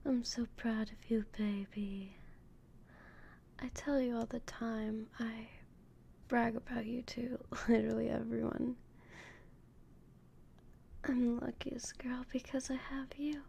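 A young woman whispering slowly and intimately as a doting, possessive girlfriend in an audio roleplay. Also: on the high side, fairly even intonation, gravelly, slight background noise. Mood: soothing. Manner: soft whispering, slow and intimate.

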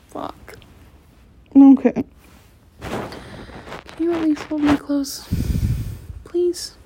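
A woman performing an intimate audio roleplay in a soft, close whisper. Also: high voice, drawn-out words, long pauses, a little hiss or hum, rough recording.

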